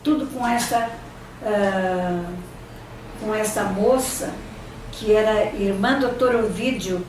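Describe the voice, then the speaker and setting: Slow older woman recalling the past. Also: mid-range voice, a little mellow, slight background noise. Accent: Brazilian. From Brazil, São Paulo state. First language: Italian. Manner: halting, searching for words, many restarts. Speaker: interviewee. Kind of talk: oral history reminiscing. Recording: echoey room, distant mic, faint ambience.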